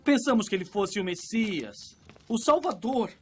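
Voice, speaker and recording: A man's voice: high voice, animated, normal speed, darkish tone, soft background music. A man playing an agitated, doubting disciple in a dubbed Bible cartoon, speaking with urgent distress. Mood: alarmed doubt. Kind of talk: dubbed animated Bible drama.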